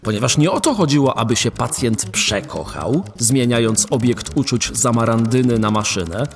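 A man narrating a radio play in an expressive, animated storytelling way. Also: mid-range voice, normal speed, a little hiss or hum. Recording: faint background music.

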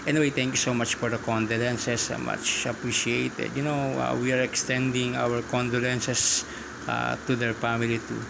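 A man's voice: mid-range voice, level tone, moderate pace, gravelly, noisy background. A Filipino man, native in Tagalog, talking casually and politely with hesitations as he demonstrates an app. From Philippines. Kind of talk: casual tutorial talk and radio-style chat.

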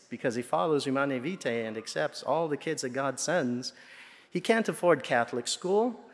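A middle-aged man delivers a homily from the pulpit of a large church. His voice sits a little high for a man, and he speaks deliberately, pausing between phrases. The sound carries a long hall reverb. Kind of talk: Sunday homily. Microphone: pulpit gooseneck and lapel microphone.

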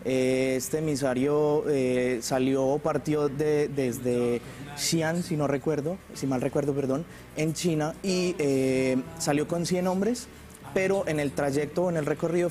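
Young male teacher from Colombia, slightly high voice, hesitant explainer, studio interview. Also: a little mellow. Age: young adult. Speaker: history workshop teacher, studio guest. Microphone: lapel. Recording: music bed behind the voice.

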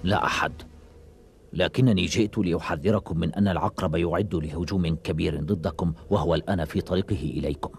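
An actor playing a grave, repentant ninja warrior who was once a traitor, earnest and solemn, in a dubbed cartoon. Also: male voice, low voice, steady tone, fairly quick, gravelly, a little hiss or hum. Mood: grave, resolute. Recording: loud music behind the voice.

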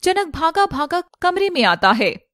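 A woman reading scripted narration as the storyteller of an animated fairy tale. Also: very high voice, unhurried, faint music behind.

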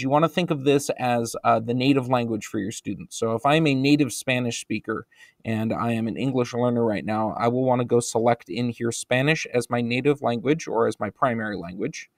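A man with an American accent narrating a screen-recorded software tutorial for teachers, relaxed and explanatory, with frequent ums and uhs. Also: mid-range voice, no room sound, clean studio sound. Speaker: instructional technology trainer.

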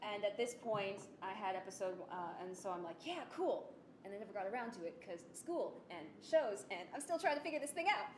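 A young adult woman giving a casual presentation, chatty, animated and self-deprecating. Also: mid-range voice, fairly quick, background noise. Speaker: student presenter of an audio drama project. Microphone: desktop microphone on the table. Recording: roomy, echoey sound with music behind.

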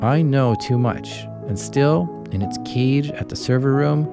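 A man narrates a scripted horror tale in a medium-pitched voice. His delivery is grave and deliberate, with an ominous weight to it. Music plays clearly behind him.

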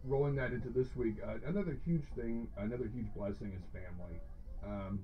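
The sound is a little dull and lo-fi, like a webcam stream. A man in his thirties speaks at an average pitch. He chats casually to his viewers as a host, effusive and informal, often doubling up words for emphasis. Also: brisk. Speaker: host of a hot sauce review show. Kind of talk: casual livestream chat to viewers.

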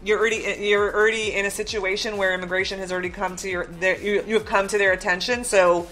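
A woman's voice: medium pitch, steady tone, quick pace, a bit bright, faint music behind. A middle-aged woman immigration lawyer, talking bluntly and candidly. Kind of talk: candid conversation.

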